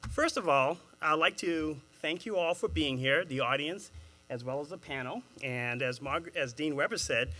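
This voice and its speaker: A man with a Boston accent moderating a panel, chatting with a live audience in an animated way and laughing at his own jokes. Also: a little high, moderate pace. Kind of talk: engaging address to a live audience.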